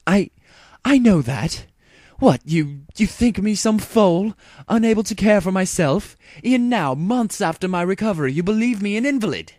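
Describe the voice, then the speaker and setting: A man's voice: high voice, expressive, normal speed, a little mellow, no echo, clean studio sound, voice pushed up. A man voicing a proud, anxious younger royal sister mare in a fan story, with formal archaic speech. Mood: indignant. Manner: formal archaic diction, indignant, stammering.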